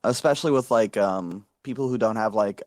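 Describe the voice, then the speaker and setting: Low-pitched male streamer guest, loose rambling chat, dry recording. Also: fairly quick, darkish tone, dry recording. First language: English. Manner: rambling, many fillers and restarts. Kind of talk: casual interview chat.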